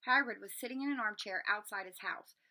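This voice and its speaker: A woman reading a children's story aloud in an expressive, dramatic storytelling manner. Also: mid-range voice, a little fast, rough recording. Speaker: read-aloud narrator.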